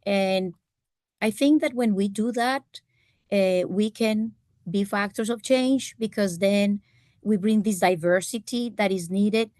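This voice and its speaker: A woman's voice: mid-range voice, takes it slowly, darkish tone, no echo. Costa Rican woman speaking, dry close sound. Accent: Costa Rican Spanish. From Costa Rica. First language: Spanish. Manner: earnest, many "eh" fillers. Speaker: panelist, economics faculty. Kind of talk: panel discussion. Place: university event room.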